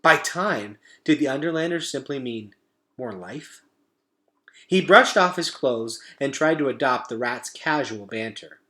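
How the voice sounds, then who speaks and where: A man's voice: medium pitch, lively intonation, a little slow, bright, forceful tone. A man narrating a children's novel expressively as a read-aloud storyteller.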